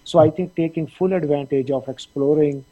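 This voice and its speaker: A middle-aged man from Punjab, India, a dean giving measured interview answers with frequent fillers. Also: a little high, fairly even intonation, dark tone, lo-fi sound. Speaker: dean of a veterinary faculty.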